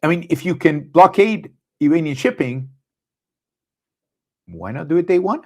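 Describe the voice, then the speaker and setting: A middle-aged man with an Israeli accent, hosting a live show in a casual, halting monologue with many fillers and restarts. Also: on the high side, expressive, darkish tone. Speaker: podcast host. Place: abroad, speaking on a live stream.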